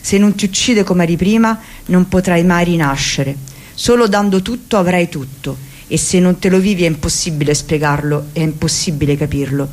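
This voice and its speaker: A woman's voice: on the low side, moderate pace, darkish tone. A woman giving an impassioned, declamatory reading of a book for the radio. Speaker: reader. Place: radio studio.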